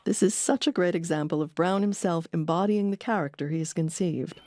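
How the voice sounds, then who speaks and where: A woman hosting an audio documentary, reading scripted narration in a measured, explanatory way. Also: on the low side, mellow. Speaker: documentary host. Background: music under the voice.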